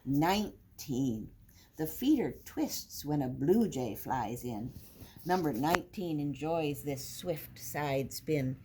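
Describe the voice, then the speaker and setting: A woman's voice: low-pitched, lively intonation, takes it slowly. An older woman reading a picture book aloud to young children like a teacher, adding simple explanations and counting along. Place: indoor classroom with wooden furniture. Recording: noisy room recording with clicks.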